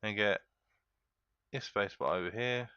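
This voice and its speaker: A man hosting a casual game tutorial, walking viewers through each step in a relaxed, chatty way and often calling them guys. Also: on the low side, normal speed, clear, resonant tone, no room sound, lo-fi sound. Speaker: gaming tutorial host.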